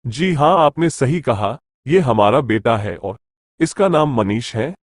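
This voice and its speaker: A man's voice: medium pitch, lively intonation, unhurried, no room sound, clean studio sound. A man voicing a mild, caring father in a Hindi moral story cartoon. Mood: mild, welcoming.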